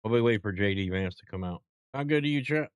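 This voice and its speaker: A man's voice: lowish voice, expressive, fast, clean tone, no room sound, studio quality. A man hosting a casual live stream, rambling and bantering, constantly addressing his chat with playful hype.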